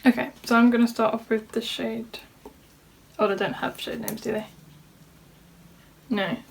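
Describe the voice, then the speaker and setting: A woman's voice: on the high side, level tone, measured pace, creaky, a little hiss or hum. A woman vlogger walking through a makeup tutorial in a casual chat, sounding slightly nasal from a cold. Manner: chatty, talks through each step. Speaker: beauty vlogger. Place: home room at a desk.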